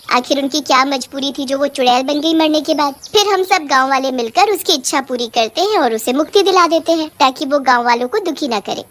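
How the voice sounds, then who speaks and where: A woman's voice: high voice, fairly bright tone. A woman voicing a fearless, teasing village woman in a cartoon story, mocking yet matter-of-fact.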